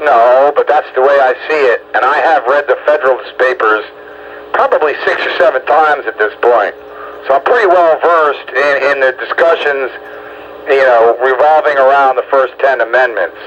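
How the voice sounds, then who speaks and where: A man's voice: medium pitch, moderate pace. An American man in a casual political discussion, opinionated and insistent, often stammering and repeating words as he makes his case. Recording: dull lo-fi radio audio, faint noise.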